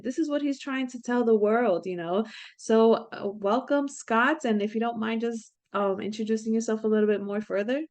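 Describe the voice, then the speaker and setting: A woman's voice: a little high, darkish tone. Upbeat woman hosting a podcast. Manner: upbeat, chatty, many "you know" fillers. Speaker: podcast host.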